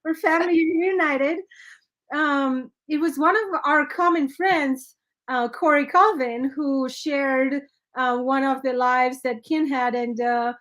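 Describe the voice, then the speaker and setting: A woman's voice: high-pitched, steady tone, takes it slowly, fairly bright tone. A woman hosting a live-streamed interview show, effusive and greeting viewers by name. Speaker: host.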